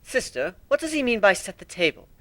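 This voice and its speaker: A man's voice: high voice, very animated, brisk, pushed and bright, pitched above the performer's own voice, full character voice. A man as a lilting, curious pony princess. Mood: puzzled, curious. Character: younger-sister pony princess, curious, old-fashioned, puzzled.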